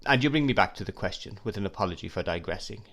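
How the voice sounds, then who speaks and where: A man's voice: lowish voice, animated, fast, gravelly, a bit bright, heavily acted. A man voicing a shrewd, grave middle-aged lawyer who questions in a probing, emphatic way.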